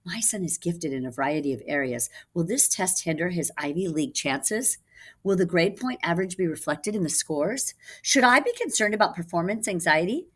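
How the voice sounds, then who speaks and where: A woman's voice: fairly low pitch, lively intonation, normal speed, fairly bright tone, breathy edge. A woman voicing anxious, pushy parents in a storybook, with earnest questioning. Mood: anxious, pompous. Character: anxious, pushy parents fretting at a school meeting.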